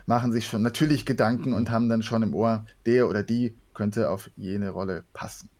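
A man answering casually as an interview guest, in a measured, reflective way. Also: lowish voice, fairly even intonation, mellow.

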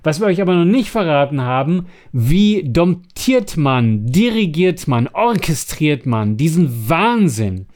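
A man's voice: on the high side, very animated, a little mellow. A man co-hosts a podcast. He chats casually and offers practical tips, often restarting words as he goes. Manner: halting, stammering over word starts. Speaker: podcast co-host.

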